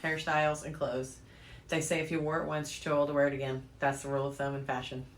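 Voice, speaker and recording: A woman's voice: sits low, level tone, fast. A middle-aged American woman co-hosting a podcast in casual, bantering conversation. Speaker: podcast co-host. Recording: echoey room, faint background noise.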